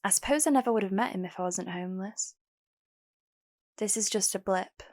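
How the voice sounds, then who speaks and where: A woman's voice: mid-range voice, a little fast, darkish tone, close to an everyday voice. A young woman playing a guarded but hopeful homeless singer in an audio drama, candid and plain-spoken. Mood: reflective, candid. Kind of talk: first-person audio drama narration and dialogue.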